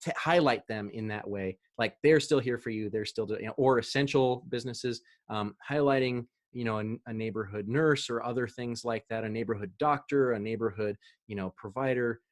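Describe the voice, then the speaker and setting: A man's voice: medium pitch, normal speed. A man presenting a marketing webinar over a video call, chatty, with fillers and easy laughs.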